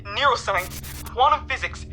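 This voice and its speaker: Young student caller played by a woman: haunted, plaintive, sharp bright tone, higher pitch, audio thriller drama. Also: lively intonation, unhurried, faint music behind, low-quality recording. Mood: despairing. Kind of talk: scripted audio thriller acting.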